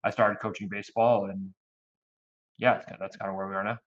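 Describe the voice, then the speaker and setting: Low-voiced man in his thirties, lo-fi. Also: level tone, quick pace. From Connecticut. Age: 30s. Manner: rambling, with frequent restarts and fillers. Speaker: youth baseball program director. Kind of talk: casual interview chat. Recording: dull lo-fi audio.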